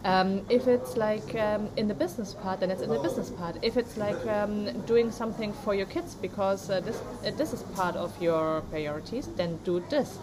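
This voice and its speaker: A woman co-hosting a casual motivational podcast, speaking in halting English with non-native phrasing and many fillers. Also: medium pitch, a crowd in the background. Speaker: podcast co-host.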